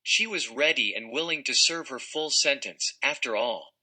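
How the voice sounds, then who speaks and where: A man's voice: medium pitch, expressive, normal speed, bright, forceful tone. A man reading an article aloud as scripted narration.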